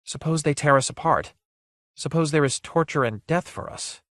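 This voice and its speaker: Man acting frightened gentle maiden, young, soft trembling delivery, raised pitch, archaic fantasy storybook speech. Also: moderate pace, no room sound, studio quality, lightly acted. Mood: terrified.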